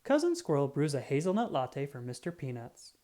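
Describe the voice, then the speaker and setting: A woman reads a children's picture book aloud with a low, mellow voice. Her intonation is lively and animated, shaped simply and expressively for young listeners. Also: a little fast. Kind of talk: scripted children's storybook read-aloud.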